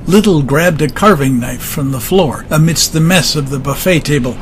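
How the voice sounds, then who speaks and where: A man's voice: fairly high pitch, normal speed, a little hiss or hum. A man narrating a dramatized audiobook in a dramatic scripted style, with music underneath.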